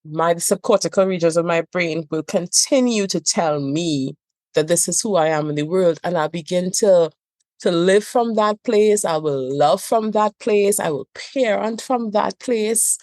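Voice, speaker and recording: A woman's voice: lowish voice, unhurried, darkish tone, dry recording. A woman from Trinidad and Tobago with a Trinidadian accent, speaking as a therapist in a podcast interview, earnest and emphatic, repeating phrases for stress. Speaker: couples therapist and trainer. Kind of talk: reflective podcast interview talk.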